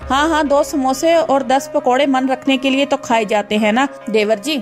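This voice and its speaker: A woman's voice: high voice, steady tone, normal speed, faint music behind, close to an everyday voice. A woman voicing a firm young daughter-in-law in a cartoon, who scolds her family. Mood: scolding. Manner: scolding, bossy. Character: firm young daughter-in-law, strict about healthy food. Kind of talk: cartoon voice acting.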